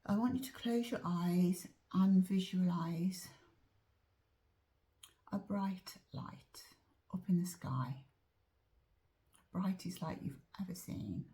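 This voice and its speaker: A woman guiding a meditation in a soft, often whispered voice, slow and drawn-out, a little chesty as she is losing her voice. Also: fairly low pitch. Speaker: meditation teacher. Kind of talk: guided meditation.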